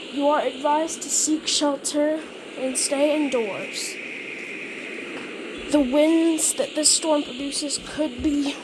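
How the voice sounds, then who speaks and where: A woman narrating a mock emergency weather bulletin in a halting way, often repeating and correcting her phrases. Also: high voice. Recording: clearly noisy amateur recording.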